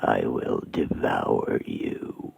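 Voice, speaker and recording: A hateful demon regent, very low and gravelly, slow whispers, phone-line sound. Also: male voice, animated, a little mellow, no room sound, heavily acted. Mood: menacing. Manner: slow, whispered, menacing taunts.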